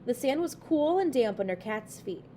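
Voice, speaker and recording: A woman teacher reading a children's book aloud in expressive narration, phrased clearly for children. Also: a little high, moderate pace, slight background noise.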